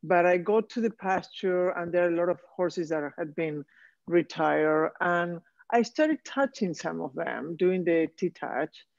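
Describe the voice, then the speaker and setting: A woman's voice: fairly low pitch, measured pace, gravelly, no echo. A woman from Argentina with an Argentine Spanish accent, a participant on a video call, speaking hesitantly with many fillers. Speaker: course participant. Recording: dull, dry video call audio.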